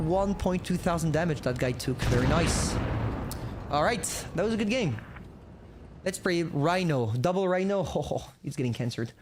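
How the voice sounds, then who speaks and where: A young man from France with a French accent, streaming gameplay and chatting casually with viewers, rambling and talking to himself. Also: high-pitched. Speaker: gaming streamer. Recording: noisy, glitchy mic cutting out.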